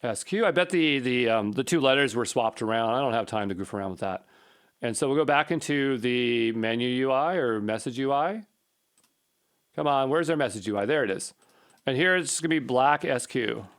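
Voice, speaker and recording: Guy doing a casual coding screen share, average pitch, kinda mumbling to himself as he works. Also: expressive, a little fast. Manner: informal, muttering to himself while working. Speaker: coding tutorial presenter.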